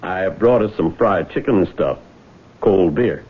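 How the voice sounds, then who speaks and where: An actor playing a persistent young country suitor in a radio drama, coaxing and intimate. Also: male voice, low voice, expressive, measured pace, slight background noise. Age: young adult. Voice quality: husky. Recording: tinny vintage radio broadcast.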